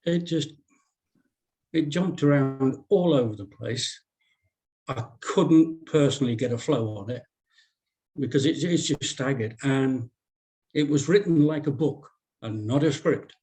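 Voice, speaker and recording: Male reader, middle pitch, blunt informal critic in a script discussion. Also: a little slow, pauses often, mellow, low-quality recording. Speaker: script reader giving feedback.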